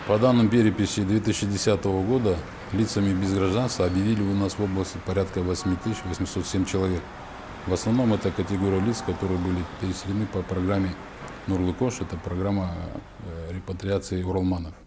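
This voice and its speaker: A man's voice: sits low, flat delivery, quick pace, background noise. A man as a regional official giving a formal, bureaucratic statement in a documentary interview, with frequent hesitations.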